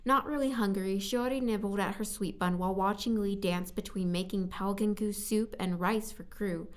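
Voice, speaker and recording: A woman recording scripted story narration as a voice actor, halting at times and repeating lines. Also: medium pitch, steady tone, heavy background noise. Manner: halting, stumbling over words and repeating takes.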